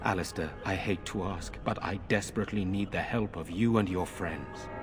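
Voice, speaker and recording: An actor playing a middle-aged noble lord in a game, speaking in a measured, formal and earnest way. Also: male voice, low-pitched, music in the background, close to an everyday voice. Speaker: village lord. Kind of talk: game voice acting.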